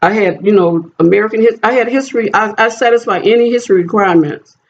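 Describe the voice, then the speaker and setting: Guy w a high voice on a muffled phone call, keeps pressing his point, some hiss. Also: fast talker. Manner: insistent, repetitive. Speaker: job applicant. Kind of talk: phone conversation. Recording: dull noisy phone call recording.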